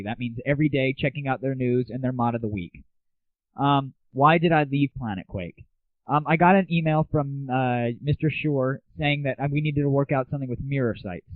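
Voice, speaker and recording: A thin, phone-like lo-fi recording with very little room sound. A man of medium pitch hosts a casual solo show, chatting and ranting off the cuff. His manner is irreverent and crude. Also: no room sound. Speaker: host of an internet audio show. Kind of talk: casual solo rant and chat. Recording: muffled phone-like lo-fi 1990s internet audio.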